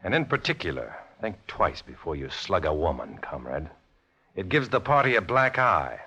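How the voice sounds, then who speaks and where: An actor playing a tough, wry undercover agent in a 1950s radio noir drama, with clipped delivery. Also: male voice, low-pitched, very animated, normal speed, sharp tone, exaggerated voice. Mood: cool, taunting. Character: hard-boiled male undercover agent posing as communist. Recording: band-limited old radio recording with music.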